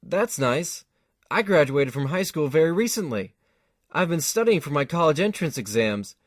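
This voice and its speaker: Woman as a low-voiced, animated young man. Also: normal speed, no room sound, clean studio sound. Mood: friendly. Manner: mild, affable. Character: friendly, easygoing young male student. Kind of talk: fan audio drama acting.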